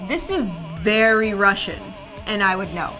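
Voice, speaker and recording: A woman's voice: medium pitch, animated, a little slow, pushed and bright, soft background music. A woman hosting an online video show, presenting scripted commentary with wry, playful asides. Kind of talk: scripted, jokey presenting about animation videos.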